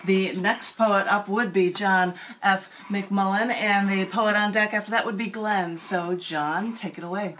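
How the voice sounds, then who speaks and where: A woman's voice: medium pitch, steady tone, a little slow, hardly any pauses, fairly bright tone, slight background noise. A woman hosting a casual open mic and reading poetry. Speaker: open mic host, poet.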